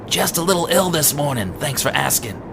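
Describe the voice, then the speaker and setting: A man's voice: fairly high pitch, animated, a little fast, pitched above the performer's own voice. Man voicing a weary old farmer, noisy recording. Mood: weary, subdued. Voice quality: small, weary voice. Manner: folksy rural phrasing, grave. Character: tired old farmer, troubled and plain-spoken. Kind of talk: horror storybook narration. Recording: clearly noisy home recording.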